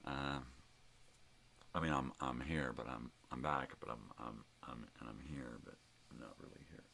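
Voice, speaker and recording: A man's voice: very deep voice, normal speed, creaky. An older man with a folksy, rambling, salty way of talking, chatting casually as the host of a personal video log at home. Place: room at home. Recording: noticeable background noise.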